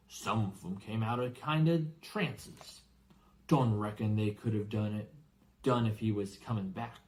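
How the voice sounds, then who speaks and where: A man voicing a gruff, warm-hearted bearded giant with a growling voice and rustic, colloquial speech in an audiobook. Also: lowish voice. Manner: rustic, colloquial, dropped words and dialect grammar.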